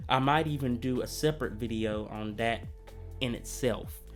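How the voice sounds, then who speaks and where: A man's voice: medium pitch, normal speed, soft background music. A man hosting a history channel, talking in an earnest, conversational way while he comes down with a cold. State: coming down with a cold. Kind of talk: reflective history commentary.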